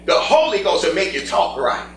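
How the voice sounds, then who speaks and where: Man acting pompous high-pitched preaching reverend. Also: big pitch swings, moderate pace. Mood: fervent preaching. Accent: American. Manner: preacherly, breaks into singsong cries. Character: pompous church reverend, fervent preacher, evasive. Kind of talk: gospel stage play acting. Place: indoor stage before an audience. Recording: echoey theater stage with music.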